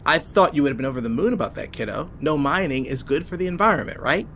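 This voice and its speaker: A man playing an affectionate, protective middle-aged father figure in an audio drama, gently teasing and easygoing. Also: fairly high pitch, lively intonation, quick pace, a little hiss or hum. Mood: teasing, fond.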